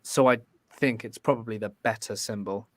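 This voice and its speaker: A man's voice: lowish voice, steady tone, no room sound. Man arguing steadily on a dry mic. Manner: measured, building an argument. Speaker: debate guest, podcast host. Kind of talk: casual podcast debate.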